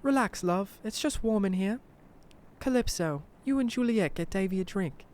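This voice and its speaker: Man voicing a high, cooing elderly English lady. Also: animated, normal speed, darkish tone. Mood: soothing, bossy. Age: 70s. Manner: coy, cooing endearments. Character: sweet elderly English woman neighbour, coy and pushy.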